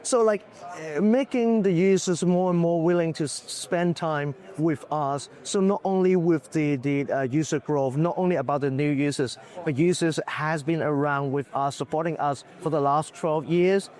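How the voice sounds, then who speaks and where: Man, slightly hoarse, executive guest, conference booth interview, loose and candid, fairly high voice. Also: normal speed, a little mellow. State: slightly hoarse, losing his voice.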